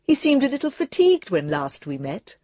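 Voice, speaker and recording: A woman's voice: on the high side, huge pitch swings, brisk, dark tone, no echo, dull audio. An actress playing a genteel, politely fussy English lady reading her letter in a radio drama, prim and wordy in manner. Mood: delighted, chatty.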